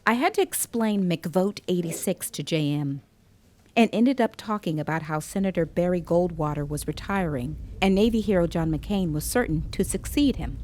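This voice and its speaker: An actress playing a tense, caring police investigator, narrating a grim story in measured, literary first person. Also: female voice, lowish voice, expressive, a little mellow, natural voice. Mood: measured, uneasy. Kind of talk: first-person scripted audio drama narration.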